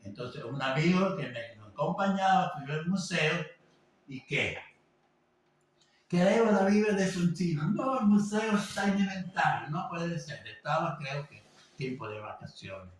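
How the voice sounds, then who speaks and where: A man teaching an informal class, talking haltingly with many fillers and tag questions. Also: on the high side, expressive. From Venezuela. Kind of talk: informal lecture. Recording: echoey room, voice from a distance, faint background noise.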